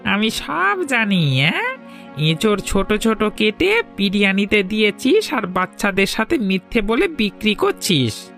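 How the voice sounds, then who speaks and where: A woman's voice: mid-range voice, very animated, unhurried, gravelly, a bit bright, soft background music, exaggerated voice. A woman voicing a cheeky, scheming male food thief who needles and insists, in a cartoon folk tale. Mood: threatening.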